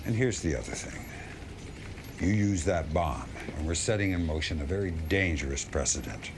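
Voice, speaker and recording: A low-voiced, gruff military general from a video game cutscene. He briefs his commander with sweeping, animated intonation and plain, emphatic words. Clear noise sits behind him. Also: moderate pace. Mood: grave, earnest.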